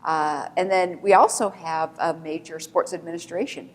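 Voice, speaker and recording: A woman professor from Colorado explains her field to a panel audience, pausing with fillers along the way. Her voice is a bit low for a woman. The room adds a long hall reverb. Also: steady tone. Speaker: professor and department chair. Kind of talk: panel discussion.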